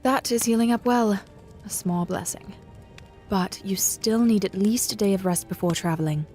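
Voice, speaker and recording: A woman playing a sharp-tongued but caring young female bard in an audio drama, wry and matter-of-fact. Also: mid-range voice, moderate pace, soft background music, lightly acted. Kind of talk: fan audio drama acting.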